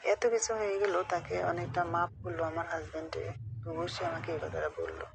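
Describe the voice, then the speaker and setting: A woman's voice: on the low side, expressive, fairly quick, gravelly. A woman with a Bangladeshi accent chatting confidingly on a phone call, rambling and often checking that her friend follows. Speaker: caller telling a friend a personal story. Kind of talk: casual confiding phone chat. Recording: phone call recording, faint noise.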